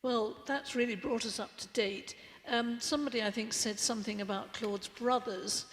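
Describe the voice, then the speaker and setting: A woman hosting a live interview in a church, guiding the talk with brisk prompts. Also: fairly high pitch, fairly even intonation. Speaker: host. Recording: long church reverb.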